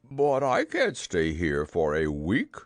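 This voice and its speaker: A man voicing a gentle, dim, gloomy storybook bear with ponderous, drawn-out speech. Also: low-pitched, huge pitch swings, no echo, clean studio sound, pitched below the performer's own voice, heavily acted. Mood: anxious. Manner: slow, ponderous, drawn-out delivery.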